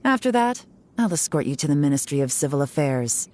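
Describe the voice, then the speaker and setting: A woman voicing a composed investigator who speaks calmly and with authority in a game. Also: low-pitched, fairly quick. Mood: stern. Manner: calm, dry and authoritative. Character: composed adult woman investigator, firm and wry.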